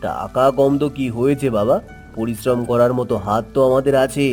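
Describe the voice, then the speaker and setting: A man voicing a determined, encouraging young village son in an animated cartoon story, earnest and resolute. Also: medium pitch, brisk, soft background music, natural voice.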